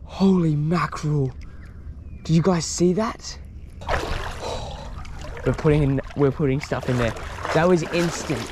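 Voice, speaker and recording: A young man with an Australian accent giving casual, excitable fishing commentary in colloquial language. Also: on the high side, a little slow, pauses often, background noise. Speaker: fishing vlogger. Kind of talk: casual live vlog commentary. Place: outdoors on paddle board on river. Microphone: body-mounted action camera.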